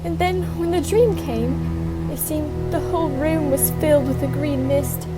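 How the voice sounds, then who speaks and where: A woman's voice: sits high, measured pace. An actress playing a frail young woman haunted by nightmares in a radio drama, speaking in a dreamy way. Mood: frightened, dreamy. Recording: voice under loud music.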